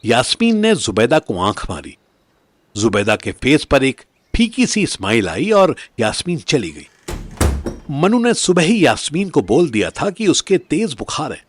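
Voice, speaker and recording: A man's voice: mid-range voice, breathy edge, no echo. A man narrating a scripted audio drama story as its narrator.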